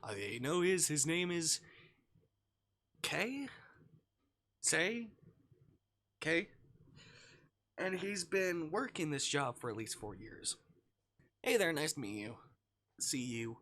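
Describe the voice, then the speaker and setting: Animated, bright-voiced man streaming a game. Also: fairly high pitch, slow pace, pauses often. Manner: informal, jokey, swearing, reacting to the story. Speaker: live streamer. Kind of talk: reading a visual novel aloud with casual asides.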